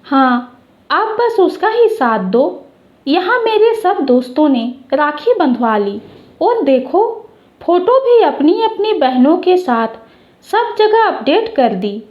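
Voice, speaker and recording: A woman as a grumbling, high-pitched older brother. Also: big pitch swings, slow, reverberant, lo-fi sound, heavily acted. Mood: aggrieved. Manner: complaining, teasing. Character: fretting, grumbling, protective teasing older brother, young man.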